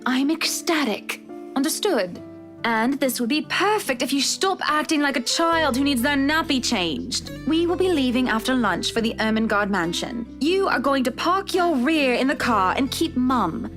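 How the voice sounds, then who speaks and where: A woman's voice: sits high, big pitch swings, normal speed, fairly bright tone, soft background music, voice pushed up. A woman voicing a bossy, exasperated young wife from a visual novel, haughty and scolding. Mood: stern, triumphant. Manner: commanding, clipped scolding.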